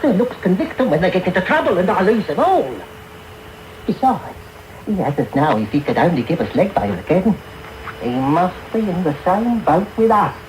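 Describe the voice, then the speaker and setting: An actor playing a sly, scheming old master of thieves in a radio drama, with an oily, wheedling, calculating manner. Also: male voice, high voice, sing-song, moderate pace, a little mellow. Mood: scheming, gloating. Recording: noisy medium-wave radio broadcast tape.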